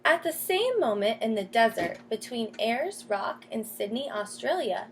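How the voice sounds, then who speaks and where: A woman teacher reading a picture book aloud to children, pausing to explain and ask questions. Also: fairly high pitch, big pitch swings, slight background noise. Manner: explains and asks questions as if to young listeners. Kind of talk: storybook read-aloud with comments to children.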